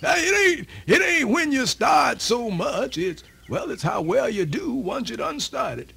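An old Southern storyteller in a radio drama, his high, creaky voice swooping widely. He rambles in a folksy drawl, circling back over his own words. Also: sing-song, measured pace, sharp tone, no echo, full character voice. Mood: folksy, amused. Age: older. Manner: rambling, repeats himself, folksy. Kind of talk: radio theatre monologue.

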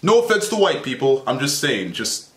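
Excitable young man in an echoey bedroom. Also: medium pitch, moderate pace. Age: young adult. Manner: excitable, playful, teasing asides. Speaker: reaction vlogger. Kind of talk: casual reaction commentary. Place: small bedroom, indoors. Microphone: webcam at desk, earphones in. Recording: echoey room.

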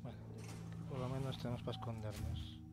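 Man, Spain accent, mid-pitched, casual gamer commentary, muttered swearing, very noisy game background. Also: steady tone, a little fast. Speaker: video game streamer. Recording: noisy, game sounds behind the voice. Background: loud horror game ambience.

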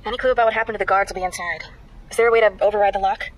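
An actress playing a sharp young woman in a video game, brisk and probing, with a dry teasing wit. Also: medium pitch, steady tone, close to an everyday voice, low-quality recording. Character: sharp, dryly witty young woman, hero's confidante.

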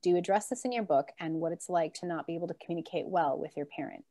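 A woman's voice: fairly low pitch, quick pace, dark tone. A woman moderating a virtual book event over a video call, reading audience questions aloud and chatting casually. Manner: reads questions out plainly, then chats casually.

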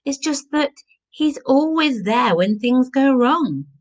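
A woman's voice: high voice, lively intonation, takes it slowly, voice pushed up, heavily acted. A woman voicing a genteel, unflappable older married woman with a polite, rueful manner in a story.

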